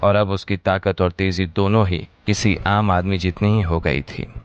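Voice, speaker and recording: A man's voice: sits low, steady tone, a little slow, smooth, lo-fi sound. A man narrating a scripted audio story in a dramatic style. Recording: music behind the voice. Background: background music.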